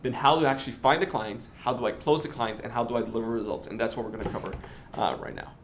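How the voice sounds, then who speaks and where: A man's voice: medium pitch, a little hiss or hum. A man in his twenties from the Dominican Republic, a native Spanish speaker, talking casually with lots of fillers and restarts. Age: 20s. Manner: fast, filler-heavy talk with many restarts. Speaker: host. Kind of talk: casual interview and tutorial talk.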